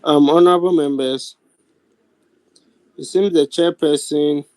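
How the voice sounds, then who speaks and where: Ghanaian man, slightly high mellow voice, deliberate formal chair of a virtual sitting, dry sound. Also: steady tone, no room sound, low-quality recording. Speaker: speaker of a youth parliament, chairing a sitting. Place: virtual meeting over video call. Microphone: video call.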